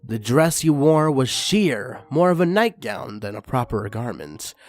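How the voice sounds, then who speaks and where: A man's voice: mid-range voice, sing-song, measured pace. Man reading a story aloud. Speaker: narrator reading fan fiction.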